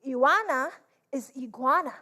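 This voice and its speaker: A woman's voice: high-pitched, expressive, bright, forceful tone. A woman with a Trinidadian accent performing a folk tale as a dramatic storyteller, drawing words out theatrically and repeating them. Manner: theatrical, drawn-out delivery with repetition. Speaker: storyteller performing at a literature competition.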